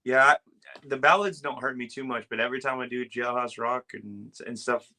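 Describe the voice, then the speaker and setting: A man with a smooth voice, a guest on a video call, talking earnestly and easily with lots of "uh" and "you know". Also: medium pitch, fairly quick, pushed and bright. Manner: earnest and easygoing, with many fillers like "uh" and "you know". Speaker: guest, Elvis tribute artist. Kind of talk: casual interview chat.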